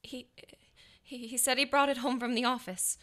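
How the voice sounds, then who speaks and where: An actress playing a fragile, distraught wife in a radio noir, pleading and halting. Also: female voice, high voice, little pitch movement, a bit bright.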